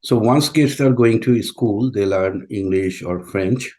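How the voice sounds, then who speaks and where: A deep-voiced man, speaking English as an after Bengali. He talks slowly and haltingly, with frequent fillers and restarts as he answers interview questions. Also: darkish tone. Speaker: community school president, guest.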